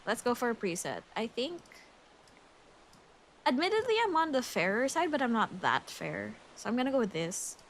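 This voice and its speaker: A woman's voice: fairly high pitch, sing-song, normal speed, a little hiss or hum. A young adult woman from the Philippines with a Filipino English accent, chatting casually and giggly while thinking aloud on a game livestream. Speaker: streamer.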